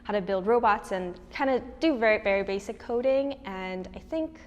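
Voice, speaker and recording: A woman's voice: mid-range voice, expressive, moderate pace. A young woman from Hawaii speaking in a documentary interview, talking conversationally with many fillers and restarts. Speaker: tech mentor and interviewee. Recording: long reverb.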